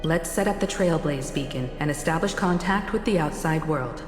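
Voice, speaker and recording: A woman voicing a calm, gracious, dependable crew leader in a video game, formal and composed. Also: sits low, moderate pace, close to an everyday voice. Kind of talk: video game story voice acting. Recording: echoey hall reverb, music behind.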